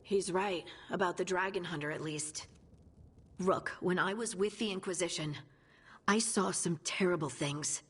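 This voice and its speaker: A woman's voice: lowish voice, moderate pace, fairly bright tone, breathy edge. An actress playing an earnest, practical, seasoned female scout in a video game, speaking straightforwardly. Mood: troubled. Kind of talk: video game cinematic acting.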